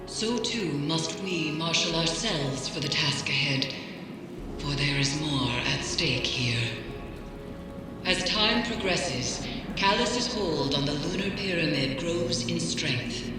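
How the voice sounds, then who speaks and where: A haunted mystic woman from a video game. She is grave and formal, measuring each phrase slowly. Her mid-pitched voice sits in heavy echo under music. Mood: solemn resolve. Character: solemn, haunted mystic woman guiding warriors against nightmares. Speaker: mission guide. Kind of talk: scripted game dialogue.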